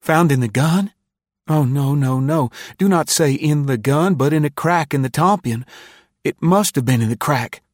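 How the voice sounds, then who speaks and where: Man acting teenage boy, high-pitched, polite, earnest, pleading, frightened, storybook character, dry sound. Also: fairly even intonation, measured pace, dry recording, close to an everyday voice. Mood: desperate, terrified. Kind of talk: audiobook character dialogue.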